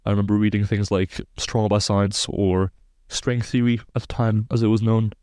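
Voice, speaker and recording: A man's voice: on the low side, level tone, moderate pace, darkish tone, clear, resonant tone, no echo, clean studio sound. A man in his twenties, raised in Belgium, explaining sports science research in a precise, technical way as a podcast guest. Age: 20s.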